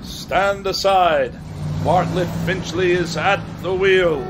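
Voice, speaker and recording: A man's voice: sits high, fairly even intonation, slow pace, noisy background. An actor in a radio drama playing a pompous, irascible older man with haughty, florid diction. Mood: haughty, irritated. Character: pompous, irascible older man who despises machines and people. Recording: radio drama with music behind.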